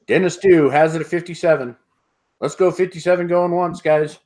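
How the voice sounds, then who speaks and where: Guy running an online auction over a video call, voice a bit high, repeats the bids over and over, earbud mic. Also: moderate pace. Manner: steady repetitive bid calling, laughs often. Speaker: auctioneer host. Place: home room with sports memorabilia. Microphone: earbud headset on video call.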